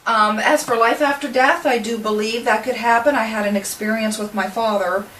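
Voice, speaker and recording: A woman in her forties with an American accent, giving a casual, somewhat halting testimonial to camera. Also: fairly high pitch, steady tone, fairly bright tone. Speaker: paranormal group member. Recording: echoey room, distant mic, clear noise.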